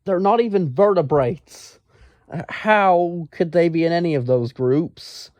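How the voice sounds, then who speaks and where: A man telling a casual, rambling story in a pedantic, exasperated, halting manner. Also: on the high side, a little mellow, no room sound. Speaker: storyteller, amateur science enthusiast.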